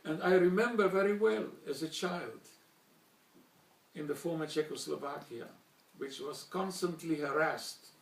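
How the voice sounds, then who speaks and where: An older man, a native German speaker from Slovakia, giving a measured formal talk with pauses and restarts. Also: on the high side, creaky, reverberant, far from the mic. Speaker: speaker recounting childhood memories.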